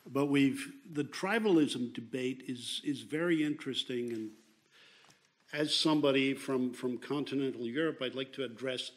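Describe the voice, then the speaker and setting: A man from Austria in the audience, asking a speaker a question in a measured, careful way. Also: medium pitch.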